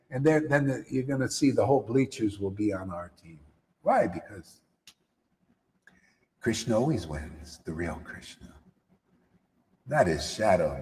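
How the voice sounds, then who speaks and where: A man giving a lively spiritual talk to a live audience, slangy and repetitive, often calling listeners "man". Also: deep voice, long reverb tail, a little hiss or hum. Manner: animated, slangy, repeats phrases, calls listeners "man". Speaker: spiritual teacher. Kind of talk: casual live-stream talk.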